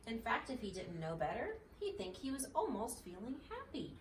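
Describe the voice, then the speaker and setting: A woman's voice: on the high side, huge pitch swings, normal speed. A woman narrating a children's storybook aloud expressively, as a mother reads. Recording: roomy, distant mic, faint noise.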